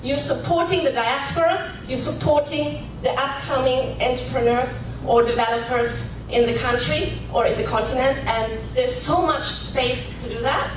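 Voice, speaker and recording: A woman's voice: high-pitched, normal speed, few pauses. Woman, conference audience member speaking off the cuff, distant in a noisy hall. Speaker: audience member, contributing writer. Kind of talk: impromptu comment at a conference. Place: large conference room. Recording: echoey hall, distant mic, noisy room.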